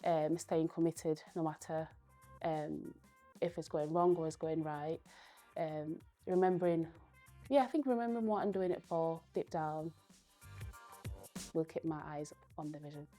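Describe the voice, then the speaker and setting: A young woman, a Spanish speaker from Spain, giving earnest, thoughtful interview answers and pausing often to gather her words. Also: sits low, warm tone, soft background music. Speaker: guest, powerlifter.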